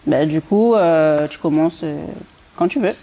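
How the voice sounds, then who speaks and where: A woman's voice: deep voice, a little slow, mellow. A woman hosting a rap contest, asking contestants questions in a friendly, casual way with many fillers. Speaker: host. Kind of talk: casual interview chat.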